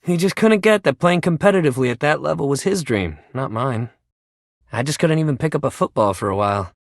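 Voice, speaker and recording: Animated bro-ish sports coach shuttlecock. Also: male voice, on the high side, a little fast, dry recording, studio quality. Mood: regretful. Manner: slangy, bro-ish, encouraging, swearing casually. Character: living shuttlecock, upbeat laid-back young male sports coach. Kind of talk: video game character voice acting.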